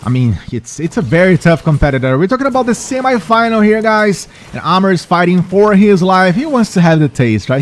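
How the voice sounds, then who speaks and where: A man from Brazil with a Brazilian Portuguese accent, casting a live esports racing match with chatty, energetic hype for the audience. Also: sits high. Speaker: esports caster.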